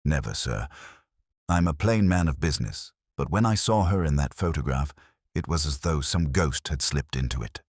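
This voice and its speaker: Man acting anxious Victorian draper, deep voice. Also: expressive, normal speed, a little mellow, breathy edge, no room sound, clean studio sound, full character voice. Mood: uneasy. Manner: nervous, deferential, plain-spoken. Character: pale, anxious young male Victorian draper, nervous and deferential. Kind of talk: audiobook detective story.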